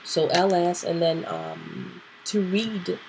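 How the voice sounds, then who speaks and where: Sick, nasal woman teaching slowly. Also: lowish voice, huge pitch swings, a little mellow, a little hiss or hum, rough recording. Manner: chatty, thinking aloud with fillers. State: sick, nasal and clearing throat. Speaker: tutorial host. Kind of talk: casual screen-recorded tutorial.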